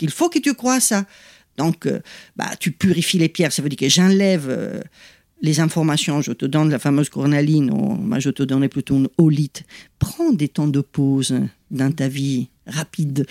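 A woman's voice: sits low, dry recording. Italian-accented woman explaining in a dry room. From Italy. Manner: animated and explanatory, frequent "tu vois" asides and restarts. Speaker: guest, lithotherapist. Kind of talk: conversational interview explanation.

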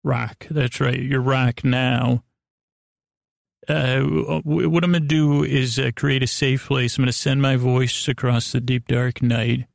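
Creaky-voiced man rambling through a bedtime podcast. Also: medium pitch, level tone, no room sound, studio quality. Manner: meandering, halting, stumbles over words, self-interrupting; soothing, lulling. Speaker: podcast host.

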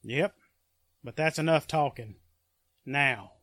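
A man's voice: a little high, takes it slowly, fairly bright tone, dry recording. A man acting a coldly practical country killer in a 1940s-style radio drama, folksy, terse and threatening. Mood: cold, menacing. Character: menacing, coldly practical rural male killer.

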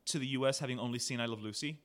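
A man's voice: mid-range voice, very fast, fairly bright tone. A man hosting a podcast, asking long, winding questions in a casual tone. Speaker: podcast host. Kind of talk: casual interview conversation.

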